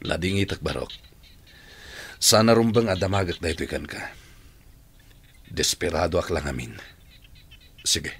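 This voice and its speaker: A man's voice: deep voice, moderate pace, long pauses, fairly bright tone, husky, voice pushed down, exaggerated voice. A man playing a troubled older male boss in a radio drama. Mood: troubled, pleading.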